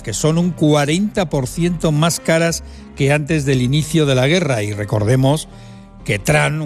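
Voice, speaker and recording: A man's voice: medium pitch, a little mellow. A man delivering scripted radio commentary on economics in a wry, ironic tone.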